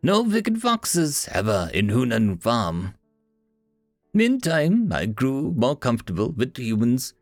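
A man's voice: mid-range voice, huge pitch swings, takes it slowly, no echo, studio quality, faint music behind, heavily acted. A man voicing a regal, proud female house cat spirit with an Eastern European accent and broken speech.

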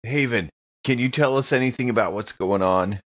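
A man talks casually in character during a tabletop game. His voice is mid-pitched, and the recording is a little dull and band-limited. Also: normal speed.

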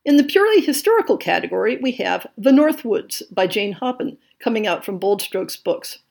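A woman hosting a podcast, reading a scripted monthly update in a measured way. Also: medium pitch, sing-song, creaky, a little mellow. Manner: measured, reads lists of titles and blurbs. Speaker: podcast host.

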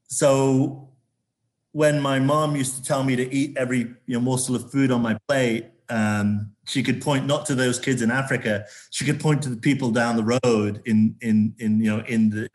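A man from Zambia, a corporate sustainability executive, talking as an interview guest with a halting delivery full of restarts. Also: medium pitch, fairly even intonation, rough recording. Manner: halting, many restarts and fillers.

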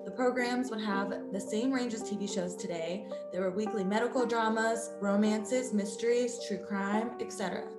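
A woman's voice: medium pitch, fairly even intonation, moderate pace, hardly any pauses, echoey room, over loud music. A woman hosting a podcast, reading a scripted introduction. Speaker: podcast host.

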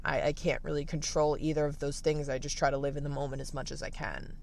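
A young man in his late teens with a raspy voice, candidly thinking aloud in a casual livestream from the beach, full of fillers and restarts. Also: a little high, level tone, fast, slight background noise. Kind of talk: reflective casual livestream monologue.

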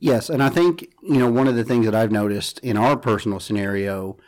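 Thoughtful low-voiced man. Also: darkish tone. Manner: thoughtful, halting with fillers and restarts. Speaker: podcast guest. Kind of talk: conversational podcast talk.

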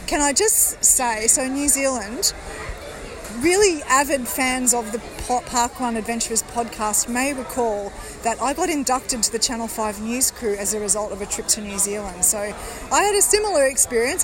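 A woman's voice: on the high side, moderate pace, low-quality recording. An upbeat woman hosting a podcast, reporting and interviewing on location at an outdoor event. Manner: upbeat, chatty. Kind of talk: roving field report and interview. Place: outdoors at a crowded park event. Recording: crowd chatter behind.

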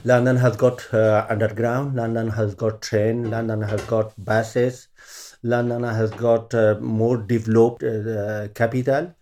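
Middle-aged afghan guy, pashto native, halting english, lots of uh and you know, normal mid voice. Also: medium pitch, level tone, dark tone. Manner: halting, searching for words, many fillers. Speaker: interviewee, former journalist. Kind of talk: oral history interview.

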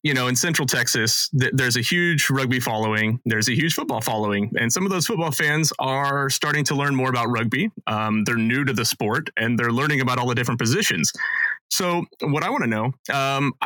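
A man's voice: mid-range voice, fairly quick, pushed and bright, no echo, low-quality recording. A man hosting a casual sports podcast, explaining rules like a teacher and chatting with a guest. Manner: friendly, teacherly, with fillers. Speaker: podcast host. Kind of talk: casual podcast interview and rugby lesson.

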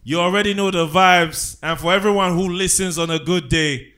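A male podcast host with a high, bright voice. He is hyped and playful, trading teasing banter with his co-hosts as he opens the show. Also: fairly even intonation, normal speed, slight background noise.

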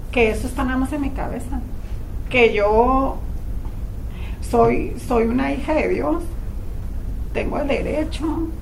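A middle-aged woman from Mexico with a Mexican accent, candidly sharing her personal story at a support group meeting, self-mocking and lively. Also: sits high, unhurried, gravelly, long pauses, a little hiss or hum, low-quality recording. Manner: candid, self-mocking, quotes herself and others in lively voices.

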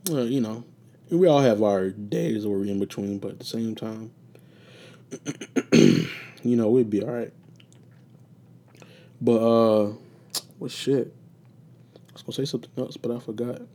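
A young man hosting a solo casual talk show, rambling with loose, profane chatter and many fillers, his voice getting raspy and sleepy. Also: fairly low pitch, unhurried, long pauses, dark tone. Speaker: podcast host.

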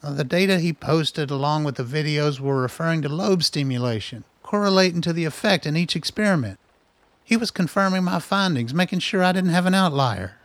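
An actor in a radio drama playing a wry, defensive middle-aged scientist who talks in an earnest, exasperated way. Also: male voice, a little high, a little fast, no room sound, close to an everyday voice. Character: defensive, wry male scientist doctor, anxious and conspiracy-minded. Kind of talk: naturalistic radio drama acting.

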